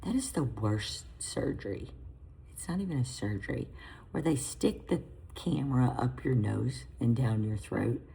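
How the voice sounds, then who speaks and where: Raspy, gravelly-voiced woman in her fifties. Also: a bass voice, animated, dark tone. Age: 50s. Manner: chatty, self-deprecating, drawn-out pauses. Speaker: fitness coach and competitor. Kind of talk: casual vlog talk to camera. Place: home garage on a treadmill.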